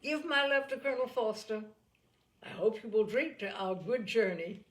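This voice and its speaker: A woman's voice: a little high, lively intonation, moderate pace, natural voice. Woman acting a giddy young girl, gushing, playful, boastful, storybook character. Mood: giddy, gleeful. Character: giddy, thoughtless, boastful young girl reading out as letter writer.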